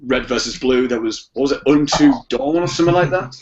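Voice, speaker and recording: A man's voice: mid-range voice, fairly quick, a loud crowd. A man chatting casually as a podcast guest, rambling and often repeating phrases as he feels his way through a thought. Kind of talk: casual chat. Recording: dull, muffled lo-fi podcast audio.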